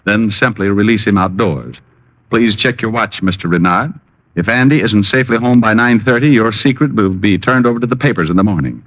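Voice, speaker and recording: An actor playing a cocky, wisecracking private detective with a wry, breezy delivery in an old noir radio drama. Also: male voice, lowish voice, brisk, natural voice. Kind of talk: 1950s radio drama acting and noir narration. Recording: dull old radio recording.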